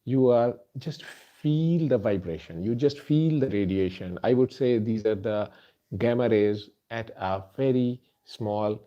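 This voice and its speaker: Earnest Urdu-native doctor addressing listeners, halting, mellow and dark, dull lo-fi sound. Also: male voice, mid-range voice. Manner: earnest, halting, many fillers. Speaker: doctor and healer. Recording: dull lo-fi recording.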